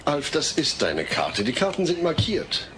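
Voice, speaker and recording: A man's voice: a little high, wildly swooping pitch, a little slow. A man playing an earnest, patient middle-aged father with a slightly stilted manner in a comedy audio drama. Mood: exasperated. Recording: noisy old cassette audio drama.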